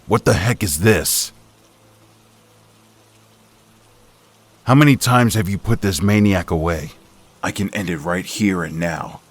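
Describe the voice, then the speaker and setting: A vengeful, grimly determined vigilante, acted by a man in a comic dub. The voice is deep and raspy, delivering clipped, hard-boiled lines. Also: normal speed. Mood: scornful anger. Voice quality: low, raspy. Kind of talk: comic book dub acting with inner monologue.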